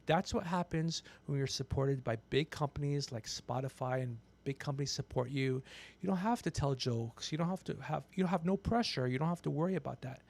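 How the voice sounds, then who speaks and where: A man's voice: medium pitch, gravelly, darkish tone. A man in his fifties chatting casually on a livestream as host, brash and ranting, swearing freely. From Persian background. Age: 50s. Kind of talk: casual profane livestream chat.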